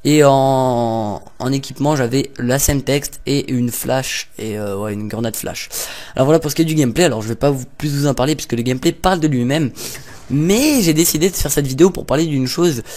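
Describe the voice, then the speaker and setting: A young man talking over his gameplay at a middle pitch, rambling and joking. He hesitates often and fills gaps with filler sounds, as if thinking out loud into a home mic. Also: brisk. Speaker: gaming YouTuber. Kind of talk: casual gameplay commentary.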